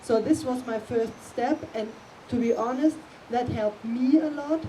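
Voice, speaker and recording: A middle-aged woman speaking English with a German accent as a panel guest, pausing often with ums as she searches for words. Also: a little high, warm tone. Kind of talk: casual panel talk. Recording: distant mic, faint room noise.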